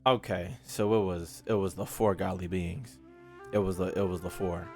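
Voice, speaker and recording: A man streaming casually to his chat, profane and slangy, thinking out loud as he talks. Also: low voice, fairly even intonation, normal speed, vocal fry, faint music behind. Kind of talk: casual live commentary to chat.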